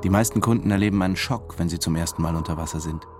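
An actor playing a detached, controlled diving instructor who narrates reflectively in a radio drama. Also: male voice, deep voice, racing, music in the background, natural voice. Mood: matter-of-fact.

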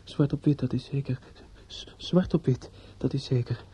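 An actor in a radio play playing a distraught, bitter young man in grief, speaking haltingly with stammers and repetitions. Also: medium pitch, warm tone, slightly rough. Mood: obsessive, unsteady. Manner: halting, stammering, repeats himself. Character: distraught, bitter young bridegroom-to-be, grieving and obsessive. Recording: dull lo-fi radio play recording.

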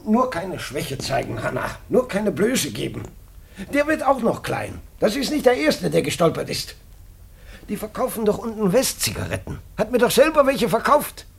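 An actor playing a stubborn, proud older father in a German radio drama, with a gravelly, husky voice. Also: sits high, wildly swooping pitch, normal speed, a little hiss or hum, exaggerated voice. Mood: defiant, bitter.

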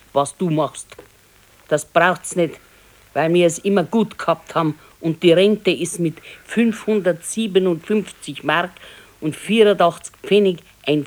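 An actress playing a practical, modest old wife with a Bavarian accent, admonishing and matter-of-fact in a radio play. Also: female voice, lowish voice, a little mellow, natural voice. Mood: gently reproving. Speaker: radio drama actor. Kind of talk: 1970s naturalistic dialect radio play.

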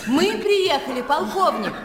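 Poised gentlewoman, echoing room. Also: sits high, big pitch swings, measured pace. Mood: bright sociable. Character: poised, sociable gentlewoman visiting neighbours. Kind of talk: radio drama acting.

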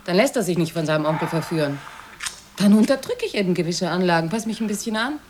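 A woman's voice: lowish voice, lively intonation, fast talker, background noise, close to an everyday voice. A woman playing a bitter, nagging middle-class wife and weary mother in a radio drama, proper and full of reproach. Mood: indignant.

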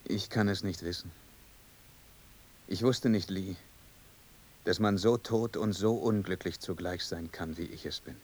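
A man's voice: low voice, measured pace, pauses often, a little mellow, breathy edge. Solemn, unhappy young dead man. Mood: despairing. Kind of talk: poetic radio play acting.

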